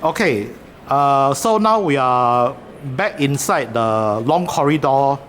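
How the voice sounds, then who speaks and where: A man's voice: medium pitch, animated, slow pace, a bit bright, a little hiss or hum. A man with a Singaporean English accent chatting hesitantly in a train station corridor, with many fillers and restarts. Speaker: vlogger filming a video shoot. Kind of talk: casual chat while filming.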